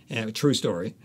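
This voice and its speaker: A man speaking as an interviewed author, telling anecdotes conversationally and pausing to find his words. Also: on the low side, a bit bright, breathy edge.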